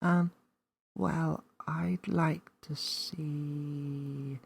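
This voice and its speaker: An excitable young girl in a children's storybook, acted out by a man, her voice pitched well above his own and a little husky. She is curious and wide-eyed, speaking with breathless, hesitant wonder. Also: extremely slow, exaggerated voice. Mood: excited, hesitant.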